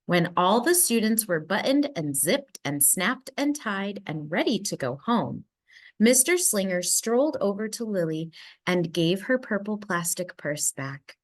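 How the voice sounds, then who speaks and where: A woman narrating a children's storybook aloud in an expressive manner with dramatic emphasis. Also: medium pitch, unhurried. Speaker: storybook reader.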